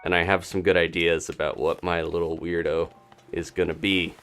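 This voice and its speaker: A man's voice: deep voice, little pitch movement, normal speed, fairly bright tone, faint music behind. A man doing live gameplay commentary as a streamer, chatting casually and thinking aloud in a rambling way. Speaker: video game streamer.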